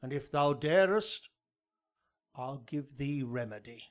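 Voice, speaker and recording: An actor playing a solemn, fatherly friar in Shakespearean radio drama, with a grave, measured delivery. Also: mid-range voice, lively intonation. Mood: grave, concerned. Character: solemn, fatherly friar counselling a young woman.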